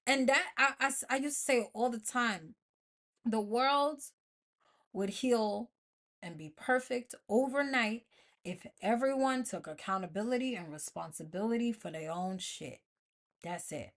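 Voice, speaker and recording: A woman's voice: a little high, measured pace, fairly bright tone. An American woman hosting an informal motivational podcast solo, speaking colloquially and emphatically, repeating phrases to drive them home. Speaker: podcast host.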